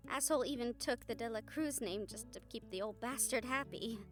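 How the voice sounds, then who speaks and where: A woman's voice: a little high, a little fast, soft background music, close to an everyday voice. A woman playing a wry, caring young woman friend in a fantasy audio roleplay, speaking casually and hesitantly, as if to one listener. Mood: candid, wry. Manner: casual, hesitant, chuckling, mildly sweary. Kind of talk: audio roleplay acting, intimate one-sided conversation with listener.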